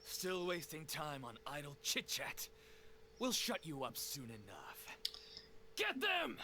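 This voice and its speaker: A haughty mercenary villain, delivers boastful threats in a hard, bright voice with big swings in pitch. It is anime game acting, menacing throughout, with faint noise behind. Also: male voice, huge pitch swings, slow, exaggerated voice.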